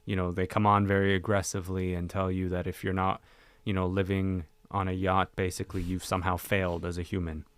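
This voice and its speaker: A man's voice: low voice, monotone, brisk, hardly any pauses, smooth, no room sound. A man hosting an interview podcast, talking in a conversational way. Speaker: podcast host.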